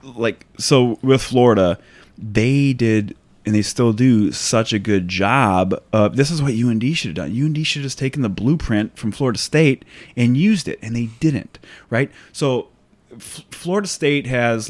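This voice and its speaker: A man's voice: mid-range voice, moderate pace. An American man in a casual, opinionated discussion, speaking emphatically and often checking for agreement. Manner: emphatic, conversational, often asks for agreement with "right?".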